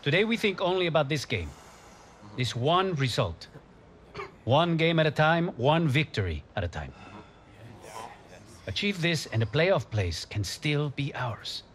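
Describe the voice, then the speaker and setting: A man's voice: on the high side, big pitch swings, unhurried, long pauses, fairly bright tone. An actor playing a serious, resolute football coach addressing his players in a video game cutscene. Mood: rousing. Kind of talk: scripted game cutscene drama.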